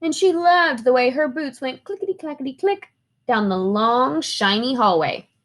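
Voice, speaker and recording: A woman reading a children's story aloud with expressive, emphatic phrasing. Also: sits high, moderate pace.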